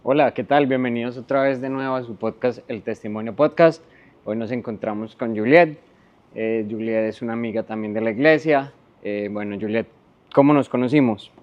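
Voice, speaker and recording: A man's voice: mid-range voice, normal speed, lo-fi sound. A man hosting a podcast, asking questions in a casual, chatty interview style.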